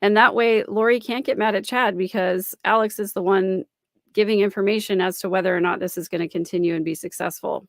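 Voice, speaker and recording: A woman's voice: mid-range voice, steady tone, fairly quick, dry recording, clean studio sound. A woman commentating on a livestream, explaining and repeating phrases for emphasis. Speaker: host and commentator. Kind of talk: live-stream commentary analysing a recording.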